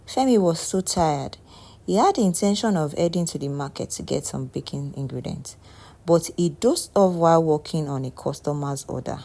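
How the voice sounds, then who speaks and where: Low-voiced woman narrating a folktale. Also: darkish tone. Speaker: storyteller.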